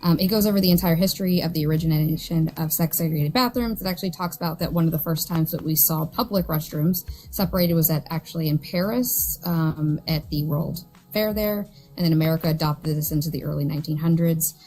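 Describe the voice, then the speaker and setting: A woman in an online debate, briskly laying out a source in a matter-of-fact way. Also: low-pitched, darkish tone, low-quality recording.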